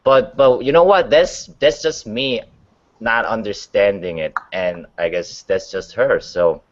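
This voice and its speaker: A man chatting casually as a panelist, with halting phrasing and loose grammar. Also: on the low side. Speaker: talk show panelist. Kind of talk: casual chat. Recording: dull lo-fi sound.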